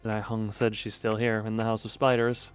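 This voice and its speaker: A man with a fairly low voice reads game dialogue aloud on a livestream. He moves between theatrical reading and dry, casual commentary, with faint music behind him. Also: completely flat delivery, moderate pace.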